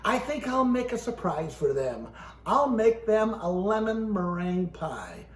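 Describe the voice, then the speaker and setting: A man's voice: sits high, sing-song, unhurried. A man voicing a cheerful, earnest, literal-minded housemaid from a children's storybook with eager exclamations. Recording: echoey room, faint background noise.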